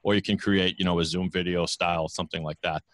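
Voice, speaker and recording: A man's voice: deep voice, steady tone, quick pace, fairly bright tone, no echo. A man talking casually as a guest on a video call from his studio microphone, chatty and self-deprecating. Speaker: podcast network founder, guest. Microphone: studio microphone with headphones.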